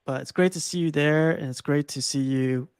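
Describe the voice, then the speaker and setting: Laughing, gravelly-voiced male stream host. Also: a little high, normal speed, no echo, studio quality. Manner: enthusiastic, laughs often, says man a lot. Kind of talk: casual chat.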